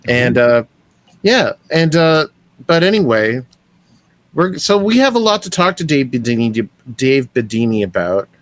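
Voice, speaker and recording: A man's voice: medium pitch, big pitch swings, measured pace, no echo. Eager rambling podcast co-host man. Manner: eager, restarts often, rambling introductions. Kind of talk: casual podcast chat. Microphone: remote call mic.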